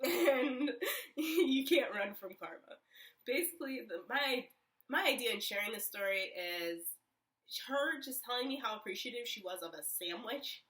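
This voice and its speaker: A woman's voice: fairly high pitch, animated, normal speed, a bit bright, far from the mic. A young woman telling a story in a casual vlog, chatty and rambling with lots of fillers. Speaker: vlogger.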